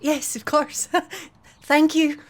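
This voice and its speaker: A woman's voice: high-pitched, level tone, drawn-out words, pitched above the performer's own voice. A woman playing a naive young woman full of wonder in a fantasy tabletop roleplay, speaking simply. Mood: bashful.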